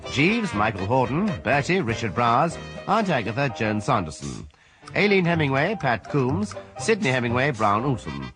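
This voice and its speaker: A man's voice: medium pitch, wildly swooping pitch, normal speed. A man with a British accent reading scripted broadcast credits as a radio announcer. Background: music.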